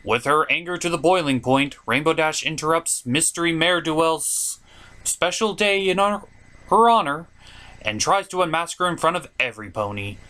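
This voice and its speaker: A man's voice: on the high side, normal speed, sharp tone. A man hosting a cartoon review show with theatrical, sardonic comedic commentary.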